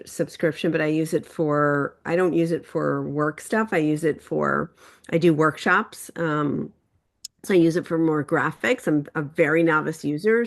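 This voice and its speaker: A woman with a notably deep voice talks casually on a group video call. She comes across as modest and self-deprecating about herself. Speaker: meeting participant. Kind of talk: casual chat.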